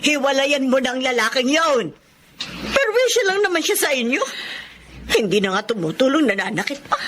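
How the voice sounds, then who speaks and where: High-pitched, blunt, scolding older sister. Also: female voice, big pitch swings, normal speed, fairly bright tone, slight background noise. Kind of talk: Tagalog radio drama acting.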